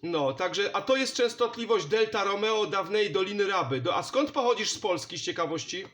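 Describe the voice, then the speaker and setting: High male voice, friendly, chatty, casual radio operator talk. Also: animated, moderate pace, fairly bright tone. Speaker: CB radio operator and channel host. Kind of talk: casual CB radio contact chat. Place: indoor home radio station.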